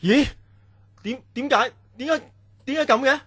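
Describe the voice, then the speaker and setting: A man's voice: very high-pitched, normal speed, fairly bright tone, slightly rough, soft background music, exaggerated voice. A man playing an exasperated camp instructor in a Cantonese radio drama, with a Hong Kong accent and colloquial delivery. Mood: puzzled. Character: grumbling male camp instructor searching the hillside. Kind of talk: radio horror drama acting.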